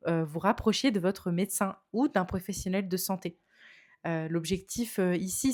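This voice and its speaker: A woman hosts a podcast in casual chat, pausing often on fillers and restarting her sentences. Her voice sits at an ordinary middle pitch. The recording is dry and close, with no room sound. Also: darkish tone, dry recording. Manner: hesitant, many fillers and restarts. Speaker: podcast host.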